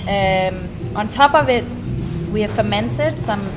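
A woman's voice: medium pitch, slow, background noise. A woman giving a conversational, informative explanation with some hesitation. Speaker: restaurant representative.

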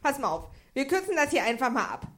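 Woman acting as haughty witch, high voice, swooping intonation, theatrical taunts, comedic audio drama. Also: big pitch swings, fast, fairly bright tone. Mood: taunting. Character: mocking, haughty witch who casts spells.

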